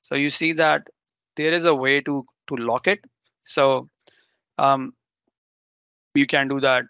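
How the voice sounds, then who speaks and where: A man's voice: mid-range voice, fairly even intonation, slow, sharp tone, smooth, no room sound, dull audio. A man whose first language is Urdu, presenting a live technical demo and explaining step by step with ums and self-corrections.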